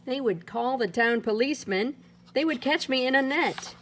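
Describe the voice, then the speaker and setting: A woman reading a rhyming children's picture book aloud in a playful, lilting way, her pitch swinging up and down. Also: moderate pace, fairly bright tone. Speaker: storybook reader.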